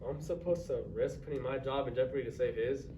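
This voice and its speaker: A man's voice: mid-range voice, quick pace, dark tone, close to an everyday voice. A male drama student playing a bitter, closed-off, self-centred man in a staged reading. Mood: defensive. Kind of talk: staged reader's theatre performance. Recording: distant echoey noisy live stage recording.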